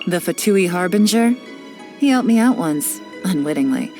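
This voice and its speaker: An actress playing a cool, cunning female spy and information broker, speaking with confident, sly, measured delivery in game voice lines. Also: on the low side, lively intonation, a little mellow, faint music behind, close to an everyday voice. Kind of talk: scripted character voice lines.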